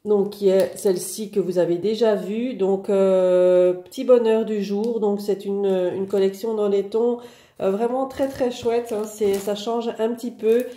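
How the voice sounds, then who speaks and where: A woman's voice: mid-range voice, fairly even intonation, measured pace, warm tone, roomy sound. A woman with a head cold presenting new craft paper collections for her online shop in a chatty way, with many fillers. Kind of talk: casual product showcase.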